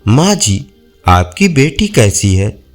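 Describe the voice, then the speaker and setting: A man playing a gentle, devout and generous village husband in an audio folk tale, speaking politely and earnestly. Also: medium pitch, big pitch swings, slow pace, faint music behind, pitched below the performer's own voice. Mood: respectful.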